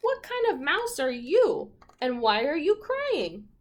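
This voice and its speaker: A woman voicing a tiny helpful storybook mouse in a gentle, encouraging manner. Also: high voice, wildly swooping pitch, takes it slowly, voice pushed up, exaggerated voice. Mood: curious, concerned.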